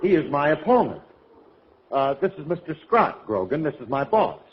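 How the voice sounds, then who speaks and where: A man's voice: a little high, very animated, normal speed. An actor playing a hapless, fretful, wisecracking man in a golden-age radio comedy. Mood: exasperated. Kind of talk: 1940s-50s radio comedy acting. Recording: dull vintage radio, audience, faint noise.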